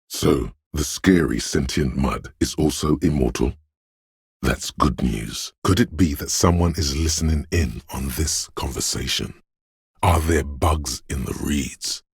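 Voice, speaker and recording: A man voicing a menacing, paranoid inner voice of fear and aggression in a video game, gravelly and husky, ominous and deliberate. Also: rumbling low, no room sound, studio quality, exaggerated voice. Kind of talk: scripted game voice acting, second-person narration.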